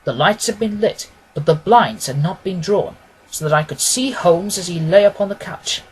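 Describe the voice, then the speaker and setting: A male audiobook narrator with a somewhat husky, unpolished tone. He tells the story in a measured, literary manner, reading scripted prose with a dramatic storyteller's phrasing. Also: high voice, rough recording.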